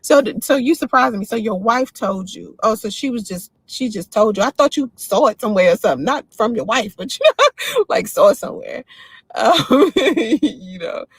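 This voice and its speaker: A woman in her forties from the D.C. area talks straight to her livestream viewers and answers their comments without holding back. Her voice sits a little high. The sound is dry and close to the mic. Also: sing-song, moderate pace, breathy edge, dry recording. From Washington, D.C. area. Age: 40s. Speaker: livestream host. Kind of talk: casual livestream chat.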